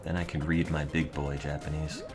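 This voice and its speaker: A man's voice: extremely low pitch, flat delivery, normal speed. A young adult man streaming and answering his chat in a dry, rambling, offhand way. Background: music playing.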